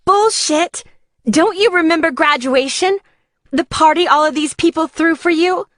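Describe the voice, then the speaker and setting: A woman's voice: very high voice, measured pace, dry recording, studio quality, voice pushed up. A woman voicing a fierce, loyal young biker woman in an audiobook, bossy, confrontational and exasperated. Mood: fierce, insistent. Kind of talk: audiobook character dialogue.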